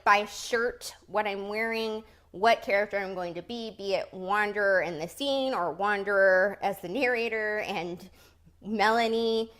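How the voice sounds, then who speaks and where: A woman's voice: mid-range voice, fairly bright tone. Chatty upbeat woman book host. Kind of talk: casual chat and book reading.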